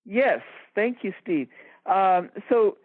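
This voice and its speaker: A woman's voice: mid-range voice, lively intonation, slow, no room sound. A woman co-hosting a radio talk show, explaining ideas in a conversational way. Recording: tinny, dry phone-line sound.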